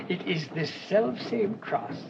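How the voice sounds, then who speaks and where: Humble English parish priest, radio play, slightly high pitch, mild, earnest, formal, dull noisy recording. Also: male voice, lively intonation, slow, gravelly, full character voice. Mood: earnest, storytelling. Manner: mild, hesitant, formal and wordy. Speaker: actor in a radio drama.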